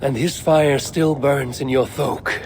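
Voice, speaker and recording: Grave, steadfast Viking warrior. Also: male voice, medium pitch, steady tone, slow pace, a little mellow, a little hiss or hum. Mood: grave counsel. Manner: grave, plain-spoken. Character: steadfast, honour-bound Viking warrior, counselling and blunt. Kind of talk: dramatic game cutscene acting.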